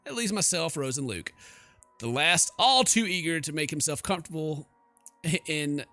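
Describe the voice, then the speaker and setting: A man streaming a game, giving animated, jokey commentary and reading the story narration aloud. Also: a little high, normal speed. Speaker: gaming streamer.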